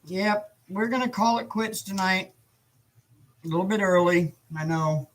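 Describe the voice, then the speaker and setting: A man with a hoarse, worn voice hosting a casual live chat stream, relaxed and talkative. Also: sits high, measured pace. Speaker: livestream host.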